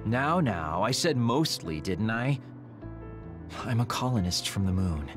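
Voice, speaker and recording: An actor voicing a laid-back but secretly determined young soldier in a video game, casual, candid and dramatic. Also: male voice, on the low side, sing-song, measured pace, over music. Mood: wry, candid. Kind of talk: video game cutscene voice acting.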